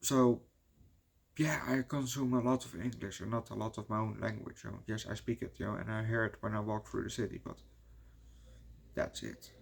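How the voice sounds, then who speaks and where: A man with a Dutch accent, a native Dutch speaker, casually rambling through a movie review, swearing often and filling pauses with "you know". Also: lowish voice, fairly even intonation, vocal fry, breathy edge. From Netherlands.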